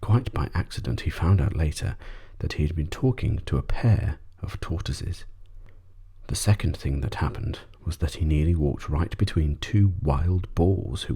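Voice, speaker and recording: A man with a very deep, dark, breathy voice reads a bedtime story. His narration is soft and measured, in an ASMR style. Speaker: audiobook narrator.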